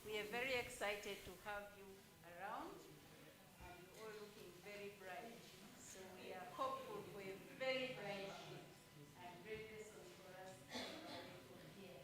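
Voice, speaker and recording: A woman's voice: medium pitch, moderate pace, pauses often, slight background noise, poor audio. A woman chairing a meeting, giving a formal welcome into a shared microphone in a conference room. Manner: warm formal greeting.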